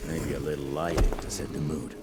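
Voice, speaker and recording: An actor playing a crude, sarcastic cowboy monster hunter in a game. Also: male voice, rumbling low, little pitch movement, fairly quick, very noisy. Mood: gruff sarcastic. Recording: music under the dialogue.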